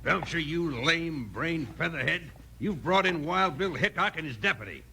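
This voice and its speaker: A man's voice: on the high side, expressive, moderate pace, creaky, pushed and bright, exaggerated voice. An actor playing a blustering, stubborn American stagecoach boss, a combative man in a 1950s radio western. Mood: exasperated. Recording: noisy vintage 1950s radio recording.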